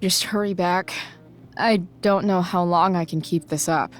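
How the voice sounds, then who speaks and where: A woman's voice: on the low side, steady tone, unhurried, natural voice. A woman playing a sarcastic, rebellious teenage girl in a video game, with a dry, flippant, slangy way of talking. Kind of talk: video game cutscene acting.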